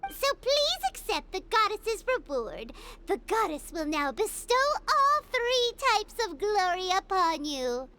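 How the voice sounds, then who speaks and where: A woman voicing a tiny, excitable fairy in a video game, theatrical and given to playing the pompous goddess. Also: extremely high pitch, a little slow, music in the background, heavily acted. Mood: pompous, grand. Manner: excitable, theatrical, refers to self in third person.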